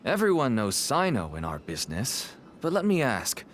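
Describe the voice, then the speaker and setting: تاجراً مراوغاً بنبرة متقلبة. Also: male voice, medium pitch, moderate pace, slight background noise. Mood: earnest. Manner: wheedling, persuasive, lively. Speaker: father. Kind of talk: video game voice acting.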